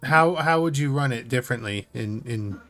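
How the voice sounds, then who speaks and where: A man's voice: mid-range voice, normal speed, a bit bright, soft background music. A man in casual chat as a podcast co-host, easygoing and agreeable.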